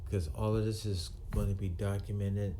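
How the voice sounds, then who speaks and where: A man in his sixties, a retired police officer, talking to camera in a halting, firm way with many ums and restarts. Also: on the low side, little pitch movement, warm tone, a little hiss or hum. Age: 60s. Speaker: retired police officer turned video maker. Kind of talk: unscripted monologue to camera.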